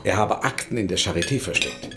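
Earnest, fairly low-voiced male lawyer. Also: lively intonation, fairly quick, noisy background. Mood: earnest, pleading. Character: earnest, pleading male lawyer seeking help. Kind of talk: German radio drama acting.

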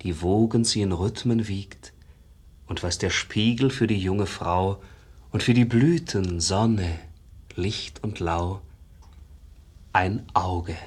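A painter's devoted pupil in a 1950s radio verse play. His low voice has a breathy grain, and he unrolls exalted, lyrical lines in stage German at a slow, rhythmic pace. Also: a little mellow. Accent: standard stage German.

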